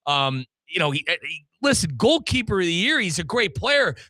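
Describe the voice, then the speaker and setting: Man, sports show host. High, punchy bright delivery, very dry sound, putting questions to a guest. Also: big pitch swings, moderate pace, dry recording. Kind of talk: animated sports talk interview.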